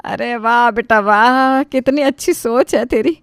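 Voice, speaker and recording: A woman voicing a doting, easily impressed middle-aged in-law in an animated family story, gushing with praise. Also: fairly high pitch, a little slow, clear, resonant tone, no echo, studio quality. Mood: gushing, admiring. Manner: gushing, exclamatory, affectionate.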